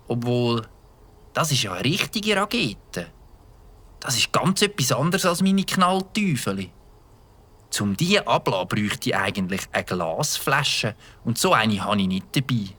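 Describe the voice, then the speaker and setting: A man playing an eager, mischievous young boy with a Swiss German accent, excitably thinking aloud in a children's audio drama. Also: medium pitch, full character voice. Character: Mike, eager mischievous young boy who loves firecrackers.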